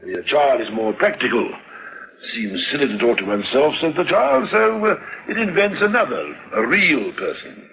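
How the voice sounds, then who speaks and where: An actor playing an avuncular, unhurried old family doctor in a radio drama, full of reassurance. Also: male voice, mid-range voice, sing-song, gravelly, fairly bright tone, highs cut off. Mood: reassuring.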